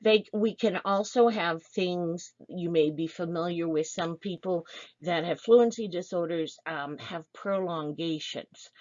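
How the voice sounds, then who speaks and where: A woman's voice: fairly low pitch, a little slow, a little mellow, no echo, dull audio. A woman presenting a program information session, explaining things step by step with fillers and restarts. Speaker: program instructor.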